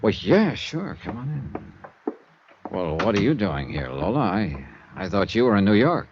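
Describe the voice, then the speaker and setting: A man's voice: on the low side, sing-song, measured pace, noisy background. An actor playing a wry, world-weary male insurance investigator who narrates his own case in a 1950s radio drama. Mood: subdued. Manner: wry, laconic first-person narration. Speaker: narrator and lead character. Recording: dull old radio transcription.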